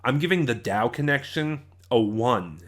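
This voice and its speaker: Guy reviewing stuff off the cuff, medium pitch, stop-start and emphatic, kinda exasperated. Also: expressive, unhurried, fairly bright tone. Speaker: audio drama reviewer. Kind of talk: informal spoken review.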